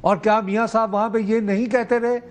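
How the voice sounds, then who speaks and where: A man's voice: high-pitched, little pitch movement, brisk. A Pakistani man debating politics as an analyst, argumentative and fond of rhetorical questions.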